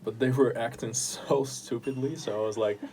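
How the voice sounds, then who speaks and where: A young man whose first language is Russian, talking casually about his writing in an interview and often pausing to search for words. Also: medium pitch, steady tone, darkish tone, slight background noise. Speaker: podcast host and writer.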